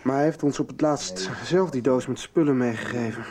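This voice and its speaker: A man's voice: mid-range voice, fairly quick, a little mellow, lightly acted. Give this to a male actor as an earnest young man in a radio drama. He speaks in a middle register with some hesitation, sincere and searching, as in natural dialogue. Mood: earnest, intense. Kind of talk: radio soap acting.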